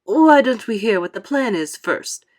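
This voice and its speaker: An old storybook grasshopper, voiced by a woman, fussy and full of doubt. He speaks emphatically, with his pitch lifted. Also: sing-song, a little slow. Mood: reasoning.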